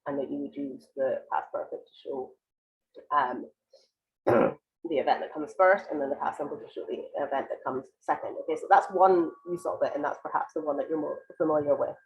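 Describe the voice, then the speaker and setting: Croaky low-voiced woman with a cold. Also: steady tone, brisk, muffled recording. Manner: explains patiently with many ums and restarts. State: has a cold, croaky and congested. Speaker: IELTS writing teacher. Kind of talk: live online lesson, answering viewer questions.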